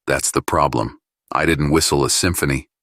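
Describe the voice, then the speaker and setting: Awkward, sheepish male pilot, voiced by a man reading, low bass, dry audiobook dialogue. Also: fairly even intonation, normal speed, fairly bright tone, no room sound, exaggerated voice. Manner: hesitant, embarrassed.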